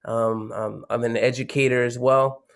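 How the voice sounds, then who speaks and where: Halting, flat-voiced man introducing himself. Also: mid-range voice, vocal fry, clean tone. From San Diego. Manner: halting, many fillers and restarts, warm reflective. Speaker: educator, panel guest. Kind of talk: unscripted introduction.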